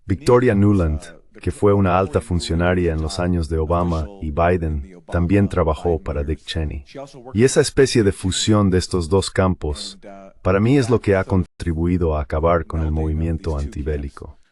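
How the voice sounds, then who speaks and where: Man, very low pitch, dubbed panel commentary, measured, articulate. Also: darkish tone. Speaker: voice-over translator of a panelist.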